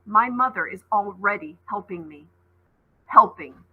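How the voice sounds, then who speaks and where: A woman's voice: mid-range voice, lively intonation, a little slow, breathy edge, phone-quality sound. A woman voicing a clever, defiant young girl heroine in a storybook, bold and emphatic. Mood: tearful, firm.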